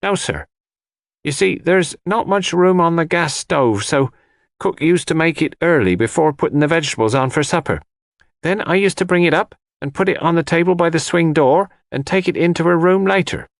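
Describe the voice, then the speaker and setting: Man voicing a flustered, high-pitched young housemaid. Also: moderate pace, creaky, no room sound, studio quality. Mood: deferential, eager. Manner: deferential, eager, flustered. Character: young strapping housemaid, excited, flustered, deferential servant.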